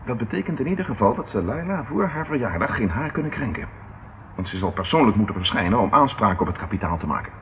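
An actor playing a stern, impatient police inspector in a radio play, speaking in a dry, businesslike way. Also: male voice, mid-range voice, sing-song, fast, close to an everyday voice. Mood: brisk, pondering. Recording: muffled, noisy old radio recording.

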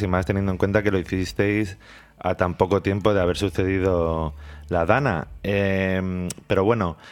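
A man with a Spanish accent from Spain hosts a radio programme. His voice sits low, and he introduces and asks questions in a conversational way from the studio. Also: clean tone. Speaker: radio host.

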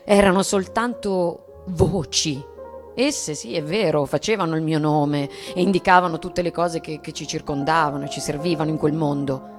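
An actress playing a bitter, yearning bourgeois wife in a dramatic radio reading, deliberate and hushed, with long pauses. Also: female voice, low-pitched, steady tone, natural voice. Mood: wistful. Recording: music and sound design behind.

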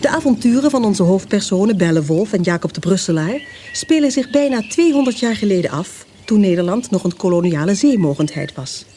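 A woman narrating a radio play in a measured storytelling manner. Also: medium pitch, warm tone, slight background noise.

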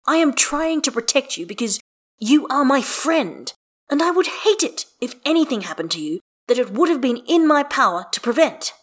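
Female reader as bookish, exasperated male story character; formal wording, emphatic, raised and animated pitch. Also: moderate pace. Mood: earnest, hurt. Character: earnest, wordy, exasperated scholarly man.

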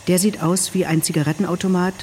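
Male narrator, fairly high voice, matter-of-fact screenplay reading, clear traffic-like noise behind. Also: little pitch movement, fast, a little mellow. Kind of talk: scripted screenplay-like narration in present tense. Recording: clear vehicle and ambient noise.

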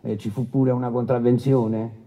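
A man acting a brusque, paternalistic presiding judge who questions haltingly and interrupts impatiently in a courtroom radio drama. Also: mid-range voice, level tone, dark tone, lightly acted. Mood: probing. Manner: interrupting, hesitant filler-laden questioning, impatient.

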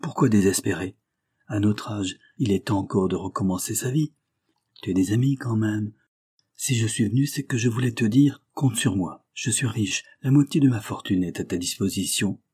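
Man reading as an insistent, affectionate young gentleman. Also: lowish voice, normal speed, breathy edge, no room sound, studio quality. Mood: urging. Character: earnest young gentleman, solicitous, urging friend. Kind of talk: audiobook character dialogue.

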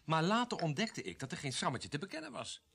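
A man's voice: fairly high pitch, big pitch swings, quick pace, vocal fry, a bit bright, husky, full character voice. An actor in a radio play playing an earnest, insistent junior policeman, suspicious and dutiful. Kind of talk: naturalistic radio play acting.

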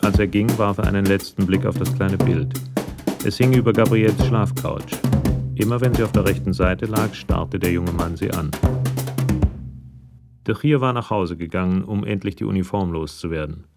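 A man narrating a radio crime drama from a script in a measured, matter-of-fact way. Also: sits low, vocal fry. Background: music with drums.

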